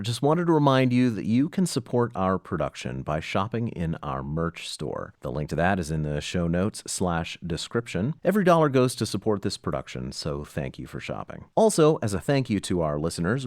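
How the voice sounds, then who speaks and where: Lively, dry-recorded man announcing. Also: on the low side, no room sound. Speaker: podcast producer and host. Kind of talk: promotional announcement to listeners.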